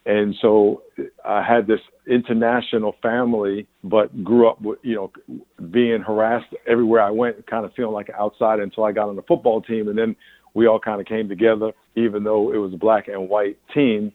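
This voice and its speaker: A man's voice: on the low side, flat delivery, a little fast, no echo. A man in his sixties from New York, a pastor answering interview questions candidly, rambling with restarts. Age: 60s. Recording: tinny phone line.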